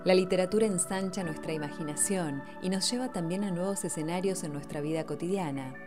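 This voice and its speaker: Low-pitched woman narrator over music. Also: brisk. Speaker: narrator of a book feature. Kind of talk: scripted narration.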